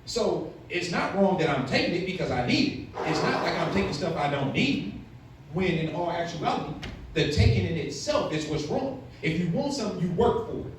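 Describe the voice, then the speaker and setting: A man's voice: fairly high pitch, big pitch swings, moderate pace. A man in his late thirties giving a blunt, candid talk to young people, stressing points with rhetorical questions. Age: late 30s. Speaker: motivational speaker to youth. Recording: very echoey room, far from mic, faint noise.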